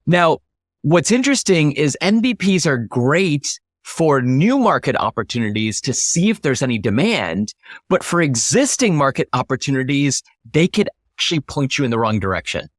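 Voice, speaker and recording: A man with a somewhat high-pitched voice talks as a guest in an interview. He explains things in an instructive, emphatic way. The sound is very dry, with no room echo. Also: sing-song, moderate pace. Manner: emphatic, instructive, illustrates points with acted-out example questions. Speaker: interview guest, startup founder.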